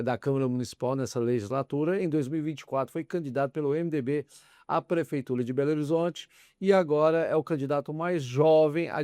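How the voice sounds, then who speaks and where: A man's voice: mid-range voice, dark tone, no echo, clean studio sound. A male interviewer who introduces his guest and asks questions, with small restarts. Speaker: podcast interviewer. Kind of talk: interview hosting.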